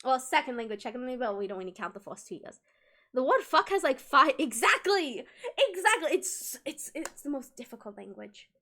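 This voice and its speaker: A woman's voice: high-pitched, very animated, a little fast, sharp tone. A young woman streaming live, chatting casually with viewers, laughing often and restarting her sentences. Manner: giggly and emotional, laughing through words, restarts often.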